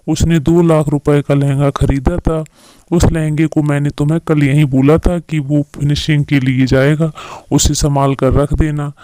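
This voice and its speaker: A man's voice: fairly high pitch, warm tone, dry recording, close to an everyday voice. A man voicing a stern, scolding showroom boss in a cartoon story, accusing and lecturing. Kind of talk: Hindi moral-story cartoon dubbing.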